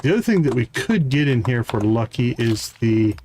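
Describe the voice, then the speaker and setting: A man's voice: mid-range voice, very animated, normal speed, darkish tone, a little hiss or hum. A man hosting a live game stream, giving casual commentary and thinking aloud as he plays. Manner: thinks aloud while playing.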